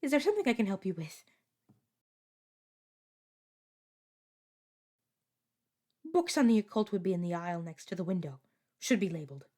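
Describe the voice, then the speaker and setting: A woman playing a soft-spoken, helpful clerk in an audio roleplay. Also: medium pitch, animated, very fast, natural voice. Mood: polite, focused. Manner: polite, hushed, helpful. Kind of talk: narrative audio roleplay acting.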